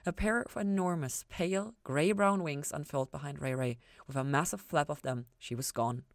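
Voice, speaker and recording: Lowish female story narrator, dry sound. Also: normal speed, no room sound. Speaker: podfic reader and podcast host.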